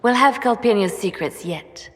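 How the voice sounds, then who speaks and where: An actress playing a brooding, guarded spymaster in a fantasy game, speaking in a measured, cool and deliberate way. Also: female voice, mid-range voice, natural voice. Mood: cool, calculating. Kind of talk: video game dramatic acting. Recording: long hall reverb.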